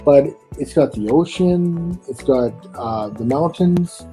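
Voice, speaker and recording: A man's voice: a little high, animated, takes it slowly, mellow, soft background music, poor audio. A man from Western Canada, an English teacher, answering interview questions in an easygoing way.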